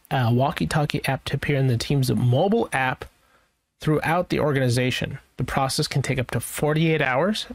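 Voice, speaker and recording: A man's voice: mid-range voice, vocal fry, no room sound. A man presenting an IT tutorial in a casual, informal way, rambling a little as he thinks aloud through each step. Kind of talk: casual screen-share software walkthrough.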